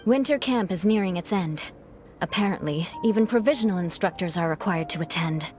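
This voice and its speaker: A woman's voice: on the low side, brisk, close to an everyday voice. A woman playing a composed, businesslike young adventurer in a video game. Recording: background music.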